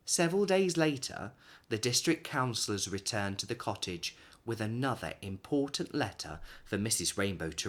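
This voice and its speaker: A man in his thirties reads a children's story aloud expressively, with dramatic pauses and emphasis. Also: fairly low pitch. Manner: animated, dramatic pauses and emphasis for young listeners.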